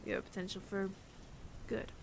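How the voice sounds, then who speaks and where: A man playing a weary older android bounty hunter with reluctant mercy in an intimate audio roleplay, breathy and often near-whispered, deliberate and wry. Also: high voice, level tone, background noise. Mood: quiet, reflective.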